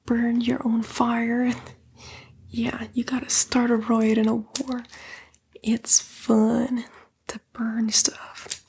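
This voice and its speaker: A woman voicing a sly female demon in a whispered, breathy ASMR roleplay, coaxing and taunting the listener in a casual way. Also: a little high, darkish tone, full character voice. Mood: cynical, goading.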